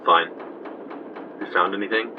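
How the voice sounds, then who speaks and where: An actor playing an irritable, defensive twenty-year-old small-town gas station attendant in an audio drama, with a curt, testy delivery. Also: male voice, sits low, steady tone, measured pace. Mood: testy, agitated. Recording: muffled, noisy interview tape.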